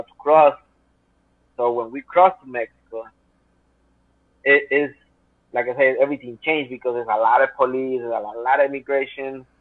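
A man's voice: mid-range voice, no room sound. Interview guest: Spanish-accented man in his 30s on a dry, tinny video call, earnest and rambling. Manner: earnest, rambling, full of fillers. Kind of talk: personal storytelling in interview. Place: home room. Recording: tinny phone-like call line.

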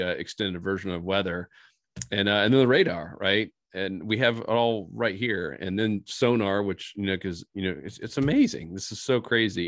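A man talks over a video call with eager enthusiasm, breaking into quick bursts of praise. His voice is low and the sound is dry. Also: fairly bright tone, no echo. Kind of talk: excited casual chat on a video call.

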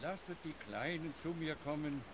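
Guy as a solemn priest at a graveside in a radio play, highish voice, lots of outdoor noise. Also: normal speed, lightly acted. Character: solemn clergyman conducting a burial. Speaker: actor in an audio drama. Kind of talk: liturgical recitation in a radio drama. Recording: distant, very noisy outdoor ambience.

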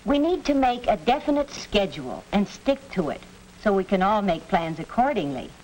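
An actress playing an earnest, patient middle-aged American mother in a 1940s educational film, speaking in a measured way. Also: mid-range voice, sing-song, a little mellow, close to an everyday voice. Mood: earnest, organizing. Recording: hissy, noisy 1940s film soundtrack.

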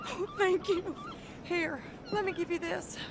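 A woman's voice: very high-pitched, steady tone, measured pace, background noise. An actress playing a mother crying with relief at her daughter's return. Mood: tearful relief. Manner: sobbing, breathless with relief. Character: frantic middle-aged mother, grief-worn.

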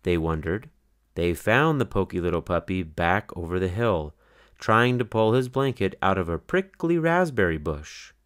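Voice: sits low, animated, normal speed. A narrator reading a children's picture book aloud in a scripted storytelling style.

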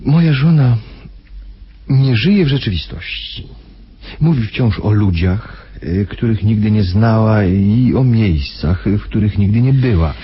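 An actor playing a grave, smoothly persuasive husband who speaks haltingly with weighty pauses in a radio play. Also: male voice, lowish voice, muffled recording, slight background noise, natural voice. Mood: grave, measured.

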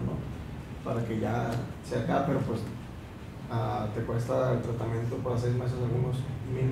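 A man's voice: medium pitch, gravelly. A young man with a Sinaloa Mexican accent, a barber chatting on a podcast and explaining at length with many fillers, recorded by a far camera in a small room. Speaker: barber guest. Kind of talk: casual podcast chat. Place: small room with a mirror, indoors. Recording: echoey room, mic far off, background hiss.

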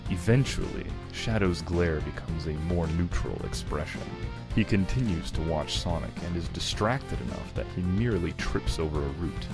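A man's voice: deep voice, animated, moderate pace, over music. A man narrating a fan fiction screenplay in an amateur reading.

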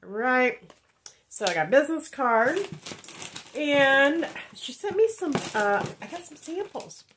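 A woman's voice: high-pitched, expressive, takes it slowly, a bit bright, slight background noise. Chatty nasal woman vlogger. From Indiana, USA. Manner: chatty and rambling, many ums, reads cards aloud. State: nasal from a head cold. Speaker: wax melt reviewer. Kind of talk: casual haul vlog chat. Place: home room, indoors.

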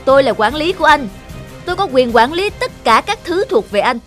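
A woman voicing a bossy, stout middle-aged male manager who gives orders and scolds briskly. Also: sits high, sing-song, soft background music. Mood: scolding.

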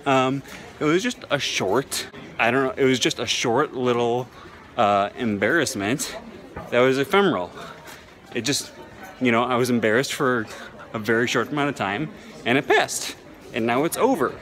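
A man in his thirties telling a story in a casual selfie vlog outdoors, relaxed and chatty with lots of ums, filmed on a phone held at arm's length. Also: mid-range voice, normal speed, pauses often. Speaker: language-learning vlogger. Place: busy city streets and square outdoors. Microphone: phone camera held at arm's length. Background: faint street and traffic noise.

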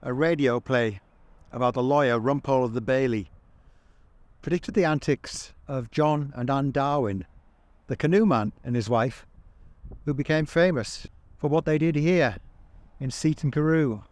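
A man in his fifties narrates to camera out on seaside streets. His pitch is middling and his delivery is dry and wry, like a scripted video essay. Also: unhurried, a little mellow, no echo. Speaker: video presenter. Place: outdoors on seaside town streets.

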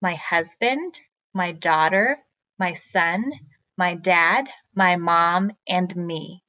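A woman, a math teacher, explaining step by step to children in a deliberate, questioning way. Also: on the low side, pushed and bright.

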